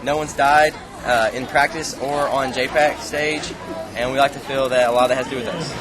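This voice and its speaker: A man's voice: mid-range voice, fairly even intonation, a little fast, poor audio. Matter-of-fact man, crowd behind. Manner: matter-of-fact, dry humor. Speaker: club director. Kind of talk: interview clip.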